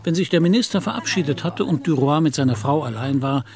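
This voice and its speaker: A man's voice: a little high, lively intonation, fast talker, creaky, music in the background. A man narrating a literary audio drama in German as a scripted storyteller.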